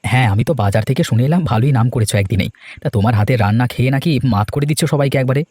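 A man acts out a supportive village husband for a cartoon. The voice is medium in pitch and mellow, moving quickly. The manner is chatty, plain-spoken and encouraging. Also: dry recording, natural voice. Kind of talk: cartoon dubbing.

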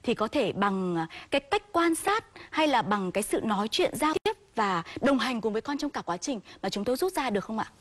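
A woman in her thirties hosting a TV studio interview, asking brisk, polite questions on behalf of parents, on a lapel mic. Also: fairly high pitch, big pitch swings. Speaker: TV morning show host.

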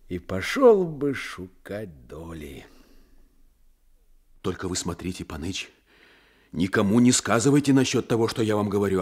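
A humble, anxious prisoner in an old Soviet radio play. His Ukrainian-accented voice sits very low and swings widely with feeling, husky and unhurried. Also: a little mellow, heavily acted. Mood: wistful. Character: downtrodden prisoner, a peasant soldier, humble and anxious. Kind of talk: Soviet radio drama acting.

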